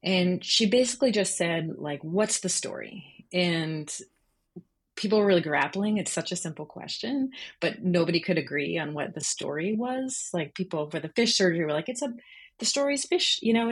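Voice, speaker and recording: A woman's voice: medium pitch, breathy edge. A woman speaking as an interview guest, telling an anecdote in a casual, conversational style. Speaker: interview guest, freelance journalist.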